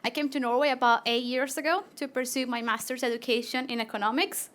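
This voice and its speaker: High-voiced Latin American woman presenting. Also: quick pace. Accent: Latin American Spanish. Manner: friendly and animated, with small fillers and restarts. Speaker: PhD researcher presenting. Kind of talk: live PechaKucha talk to an audience.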